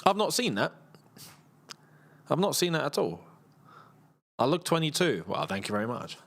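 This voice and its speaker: A man's voice: on the high side, sing-song, moderate pace, vocal fry, a bit bright. Tired, chatty man in his thirties. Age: 30s. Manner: chatty and rambling, laughs often, reads viewer messages aloud. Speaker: football channel host. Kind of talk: casual live watchalong chat.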